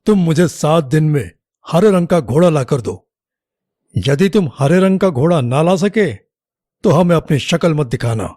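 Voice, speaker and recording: A man playing a king in an animated children's story, speaking in a commanding, deliberate way as he tests his minister. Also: on the high side, dry recording, clean studio sound. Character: male king, approving yet slyly testing his minister.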